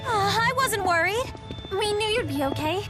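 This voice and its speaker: A woman's voice: very high voice, lively intonation, moderate pace. An actress voicing an earnest, stubborn little girl in a video game, with a childish, easily hurt manner. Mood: stubborn, defensive. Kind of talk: English video game voice acting. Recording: loud game music behind the voice.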